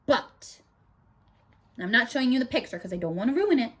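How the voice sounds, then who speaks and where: A woman's voice: a little high, a little fast. A woman teacher reading a picture book aloud to children, stopping to explain words and ask for their predictions. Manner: animated, asks children questions.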